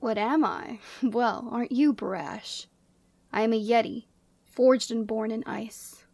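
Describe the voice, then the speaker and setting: A woman playing a proud, commanding yeti woman in a scripted ASMR roleplay, speaking in an imperious, measured and formal way. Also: a little high, vocal fry, darkish tone, no room sound, exaggerated voice. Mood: amused, haughty.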